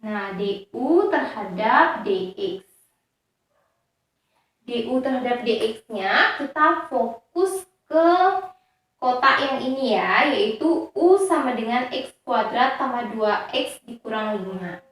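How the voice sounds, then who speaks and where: The woman you would hear in a bare, ringing classroom in Bali, patiently walking pupils through each step in a lightly high voice. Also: animated, slow, fairly bright tone. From Indonesia. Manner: didactic, checks in with frequent tag words. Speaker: high school math teacher. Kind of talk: step-by-step lesson explanation. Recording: very echoey, reverberant room.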